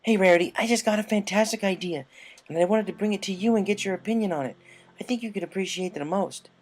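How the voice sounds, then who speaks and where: A man's voice: sits high, fast talker, voice pushed up. A man voicing a loyal young female pegasus pony in a storybook reading, earnest, eager and casual in manner. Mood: excited.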